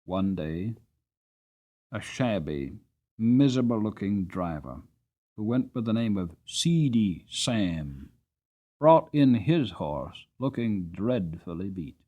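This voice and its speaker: A man's voice: fairly low pitch, big pitch swings, slow pace, mellow, dull audio. A man reading a classic novel aloud as an audiobook narrator, in a dramatic storytelling style.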